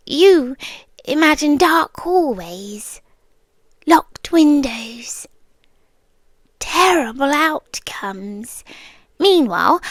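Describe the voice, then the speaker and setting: A British woman playing a possessive, menacing yandere girlfriend in an ASMR roleplay, soft-spoken and deliberate, often slipping into whispers, sweetly condescending. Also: high voice, no room sound, exaggerated voice. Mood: taunting, soft. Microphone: close, dry microphone.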